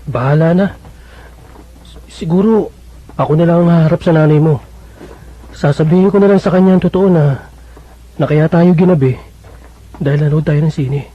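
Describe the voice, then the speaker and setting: A high, raspy young Filipino man plays the smitten suitor in a radio soap. He is timid and easily flustered, stumbling and hesitating over his words. The recording is dull and lo-fi. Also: moderate pace, pauses often. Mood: worried. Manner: hesitant, stammering. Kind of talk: Filipino radio drama acting.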